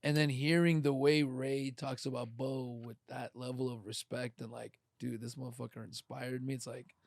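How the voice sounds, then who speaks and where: A man's voice: medium pitch, little pitch movement, moderate pace, vocal fry, no room sound, studio quality. A man hosting a podcast, chatting casually with loose, profane, joking banter. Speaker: podcast host. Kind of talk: casual interview chat.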